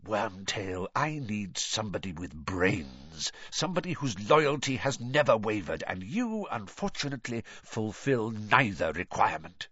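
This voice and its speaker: A man doing a cold, silky dark lord. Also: on the low side, big pitch swings, a little slow, few pauses, slightly rough, no room sound, dull audio, full character voice. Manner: cold, quiet, mocking menace.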